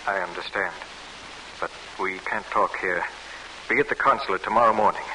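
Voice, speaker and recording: A man playing a calm, helpful and cautious American diplomat in a 1940s radio drama. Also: low-pitched, moderate pace, close to an everyday voice. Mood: calm, concerned. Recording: hissy old radio recording.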